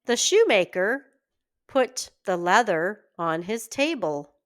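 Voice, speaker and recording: A woman reading a children's fairy tale aloud in an expressive, deliberate storytelling manner. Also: medium pitch, clear, resonant tone. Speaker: children's story reader, teacher.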